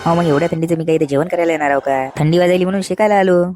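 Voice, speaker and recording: A man's voice: a little high, fairly even intonation, racing, over music, natural voice. A man voicing a cheeky, blunt village boy in a comedy cartoon, with a rural Maharashtrian accent and slangy back-talk.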